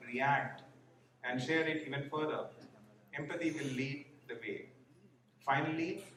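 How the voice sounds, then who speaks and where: A man's voice: fairly high pitch, fairly bright tone. Man giving a prepared conference speech. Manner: measured, orderly listing of points. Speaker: conference speaker. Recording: echoey hall, distant mic, faint noise.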